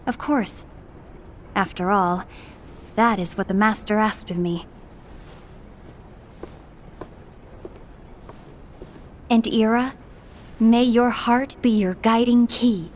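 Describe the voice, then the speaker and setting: An actress playing a composed, diplomatic young woman in a fantasy game, speaking formally and with measured politeness. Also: a little high, steady tone, slight background noise, natural voice. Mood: calm reassurance. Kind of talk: scripted game cinematic acting.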